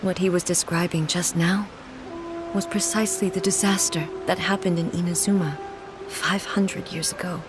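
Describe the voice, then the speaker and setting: A woman playing a regal, immortal shogun in a video game, formal and measured, grieving yet composed. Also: lowish voice, fairly even intonation, over music, natural voice. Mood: grave.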